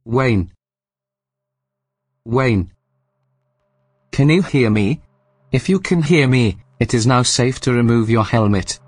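An actor playing a formal, measured male artificial intelligence in a science-fiction audio drama. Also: medium pitch, slightly rough, no room sound. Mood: calm, apologetic. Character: calm, clinical male artificial intelligence running a research station.

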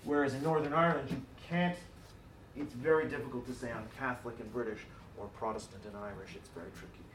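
A man's voice: medium pitch, steady tone, fast talker. Lecturing man in an echoey room. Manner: conversational, thinks aloud with fillers and restarts. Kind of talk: university lecture. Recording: roomy hall recording from far off, faint hiss.

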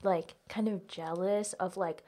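Low-pitched young American woman, chatty. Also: steady tone, a little slow, mellow. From United States (South Carolina and Virginia). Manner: informal, heavy use of "like". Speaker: guest, comedian. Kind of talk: casual chat, personal anecdotes.

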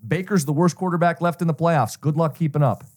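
A man's voice: on the high side, fairly quick, darkish tone. An American man co-hosting a sports betting show, talking through his analysis in a methodical, explanatory way with plenty of statistics.

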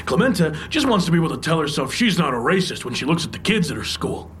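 An actor in an audio drama playing an intense, wounded trauma survivor, speaking in an earnest, insistent, confessional way. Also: male voice, a little high, big pitch swings, quick pace, a bit bright, a little hiss or hum. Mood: agitated, scornful. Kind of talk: naturalistic audio drama acting.

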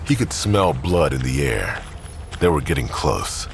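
A man's voice: extremely low pitch, sing-song, a little slow, vocal fry, slight background noise. A man reading scripted crime fiction as the narrator.

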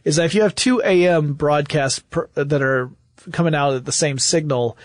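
A man giving casual but precise, measured explanations as a podcast co-host. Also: on the high side, dry recording.

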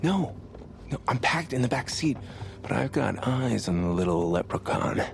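A man's voice: medium pitch, big pitch swings, moderate pace, gravelly. An actor playing a bumbling male thief who narrates his own sneaking in self-important, overdramatic whispers. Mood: mock-heroic. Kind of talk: comedic audio drama acting.

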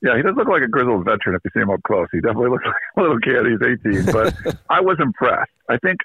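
A man's voice: mid-range voice, big pitch swings, slightly rough, no echo. Man on a tinny phone line. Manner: quick, casual, repeats words and laughs lightly. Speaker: interview guest, sports reporter. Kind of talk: phone interview.

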